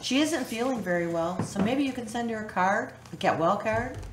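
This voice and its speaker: A caring, reassuring big sister played by a woman in a radio drama, with animated pitch. The recording is roomy and noisy with clicks. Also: moderate pace, natural voice.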